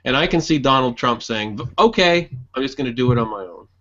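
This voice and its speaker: A man's voice: mid-range voice, lively intonation, brisk, fairly bright tone. A man in a casual group political chat, rambling, repeating phrases and acting out imagined dialogue. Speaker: podcast panelist. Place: group internet voice call. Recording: dull lo-fi online voice call.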